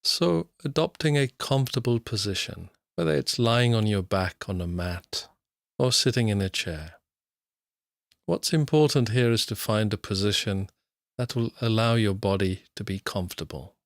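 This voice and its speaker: A man with a fairly low voice guides a meditation in a dry recording with very little room sound. He speaks in short, measured instructional phrases. He leaves long, unhurried pauses between them. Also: expressive, no echo. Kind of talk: guided body scan meditation, slow instructional narration.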